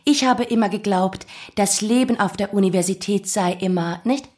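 A woman's voice: mid-range voice, moderate pace, a little mellow, close to an everyday voice. An actress in a German radio drama playing a sardonic lady's companion of about thirty who feigns meek ignorance and asks insinuating questions. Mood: mock-innocent. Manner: insinuating, mock-innocent questioning.

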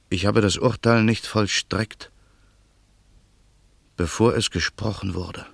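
A grim, gravelly avenger. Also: male voice, lowish voice, no echo, exaggerated voice. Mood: shaken. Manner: slow, brooding inner monologue. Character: grim man plotting revenge, brooding. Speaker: narrator and lead character. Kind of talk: radio play acting.

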